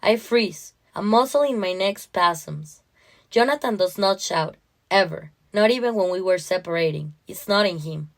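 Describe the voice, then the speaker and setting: A woman narrator reading a first-person literary short story in an expressive, dramatic way. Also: medium pitch, normal speed.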